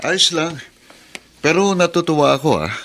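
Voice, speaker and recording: A man's voice: on the high side, animated, normal speed, fairly bright tone, slight background noise. A man with a Filipino accent playing an easygoing, slightly weary tricycle driver in a radio drama, talking casually like an old buddy. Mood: friendly, earnest. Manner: casual, chummy.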